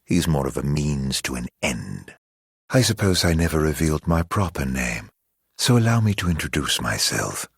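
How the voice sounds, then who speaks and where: An actor playing a mocking, theatrical immortal villain in a video game, drawling mock-polite taunts in a husky, gravelly voice. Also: male voice, extremely low pitch, big pitch swings, moderate pace, no echo, studio quality, full character voice. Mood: smug, theatrical.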